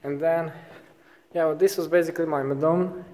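A man's voice: fairly high pitch, normal speed. Young man vlogging in an echoey workshop. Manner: relaxed, informal explaining of gear. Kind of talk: casual workshop vlog. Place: large indoor bike workshop.

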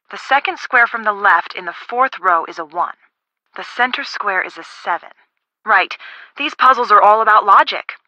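A woman voicing a friendly young woman in a video game, casual, expressive and helpful. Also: medium pitch, no room sound, dull audio.

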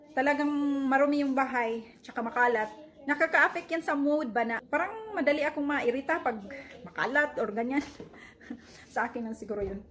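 A chatty woman from the Philippines with a Visayan accent and a high voice talks casually to her camera in her living room. She is in her forties and laughs often. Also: fairly bright tone. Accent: Visayan Filipino. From Bohol, Philippines. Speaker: vlogger. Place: home living room, indoors. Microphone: camera at arm's length, then on a stand.

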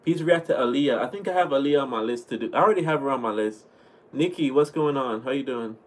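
A young man with a Jamaican accent talks easily to his viewers on a home livestream, answering chat questions and thanking them as he goes. His voice sits at an everyday middle pitch, and it is picked up by a webcam mic in a small room. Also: fast talker. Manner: relaxed, chatty, thanks viewers often. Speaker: livestream host reading chat. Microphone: webcam at desk.

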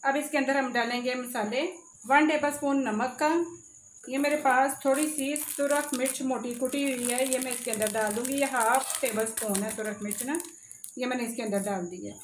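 A woman's voice: high voice, steady tone, moderate pace. A woman, hosting a cooking channel and narrating a recipe step by step, addressing viewers directly from a home kitchen. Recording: echoey room with faint background noise.

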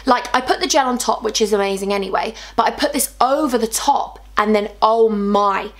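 A woman's voice: fairly high pitch, moderate pace. A young woman, chatting bubbly and gushing in a casual beauty haul vlog, her voice a little worn. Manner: bubbly, gushing, animated with hands. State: slightly losing her voice. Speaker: beauty vlogger. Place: small indoor room with sofa.

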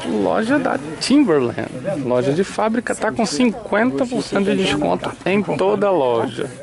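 A man's voice: high voice, very animated, moderate pace, creaky, crowd noise. A man from Brazil giving an upbeat, chatty tour of outlet stores for his vlog, filmed on a handheld camera. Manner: chatty, upbeat, pointing things out. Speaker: vlogger. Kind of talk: casual shopping vlog.

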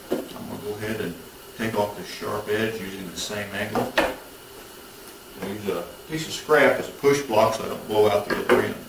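A man in his thirties explaining a woodworking build step by step in a plain, practical, instructive way, recorded in a home garage workshop. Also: fairly low pitch. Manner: practical, instructive, matter-of-fact. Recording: roomy workshop, distant mic, tool noise.

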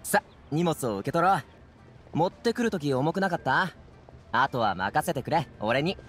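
ゲームで、男性声優が演じる明るく気さくな若い護衛。くだけた少年っぽい口調で話す。. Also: male voice, a little high, lively intonation, normal speed, fairly bright tone. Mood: friendly. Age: young adult. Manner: casual, breezy, boyish slang. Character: upbeat, friendly young man, transport guard. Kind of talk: Japanese anime game voice acting.

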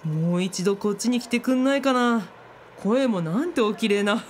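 A woman's voice: on the high side, animated, moderate pace, a little hiss or hum, close to an everyday voice. Woman acting a blunt, opinionated eighteen-year-old. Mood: smitten. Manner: blunt, grumbling. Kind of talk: radio drama dialogue.

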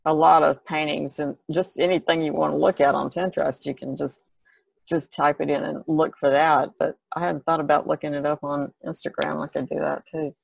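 A woman's voice: low voice, flat delivery, normal speed, creaky, husky, no echo, low-quality recording. A woman chatting casually as a podcast panelist, in a hesitant, musing way. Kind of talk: casual chat.

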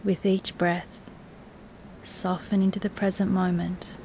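A woman teaching guided relaxation in a soothing, soft, instructive way, with breathy, near-whispered moments and long pauses. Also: medium pitch, level tone, a little hiss or hum, poor audio. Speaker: yoga nidra meditation teacher.

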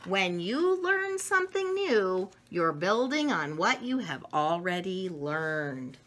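A middle-aged woman reading a children's picture book aloud in an expressive way, with small asides, recorded in a room at home. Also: medium pitch. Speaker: storybook reader. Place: room at home with wooden cabinets.

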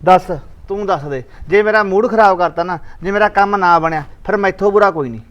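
A man's voice: high voice, a little fast, fairly bright tone. A man, playing an irritable, dismissive rural husband.

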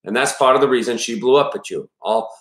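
A man's voice: medium pitch, fast talker, a bit bright, lightly acted. A man voicing a worried, plainspoken older father from a small town, with a Maine accent, in an audiobook-style reading. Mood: weary, reassuring. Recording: roomy echo around the voice.

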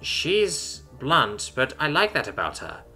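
A man's voice: a little high, wildly swooping pitch, takes it slowly, sharp tone, breathy edge, soft background music, pitched above the performer's own voice, exaggerated voice. A man voicing an eager, courteous big-eyed female alien with a polite, delighted manner in a story. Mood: approving.

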